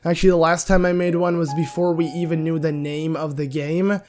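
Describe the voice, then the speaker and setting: A young man hosting a casual gaming discussion vlog. He is sick and his voice is nearly gone, so it comes out hoarse and raspy. He rambles, with many fillers and restarts. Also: a little high, level tone, brisk, a little mellow, faint music behind. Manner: rambling, with frequent "uh" and self-corrections. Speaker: video game channel host.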